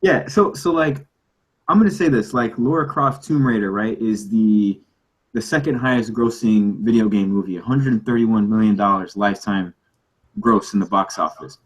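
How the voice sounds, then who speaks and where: A man's voice: on the low side, moderate pace, poor audio. Emphatic man arguing in a casual debate. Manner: emphatic, argumentative, repeats himself for effect. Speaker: podcast panelist.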